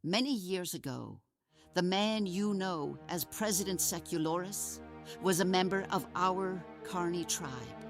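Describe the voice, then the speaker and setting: A woman's voice: fairly low pitch, music in the background. A woman playing a solemn, wise carnival ringmaster in an audio drama, telling tales in a grave, formal manner. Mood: grave storytelling. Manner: grave, deliberate, formal storytelling.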